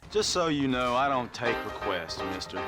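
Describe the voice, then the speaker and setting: An actor playing a sly, grasping, sardonic saloon musician in a western video game. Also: male voice, mid-range voice, expressive, moderate pace, lightly acted. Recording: loud guitar music underneath.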